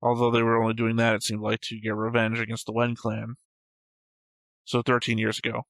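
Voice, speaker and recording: A man hosts a casual reaction discussion in a bright, forward voice. His pitch stays fairly level and his delivery is matter-of-fact. The sound is dry, with little room on it. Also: monotone, fairly quick, no room sound. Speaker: reaction show host. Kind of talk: casual discussion of an animated series.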